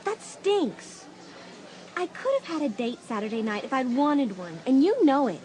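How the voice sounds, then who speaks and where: A woman's voice: sits high, sing-song, normal speed, a little mellow, slight background noise. A woman playing a hurt, easily wounded teenage girl in a dramatised audiobook. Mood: indignant.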